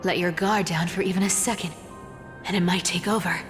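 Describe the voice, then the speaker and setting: Actress as a sly trickster thief, reverberant. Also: female voice, fairly low pitch, steady tone, a little fast, lightly acted. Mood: teasing, warning. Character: sly, world-weary female trickster thief, guarded and proud. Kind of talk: video game voice acting. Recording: long reverb under clear music.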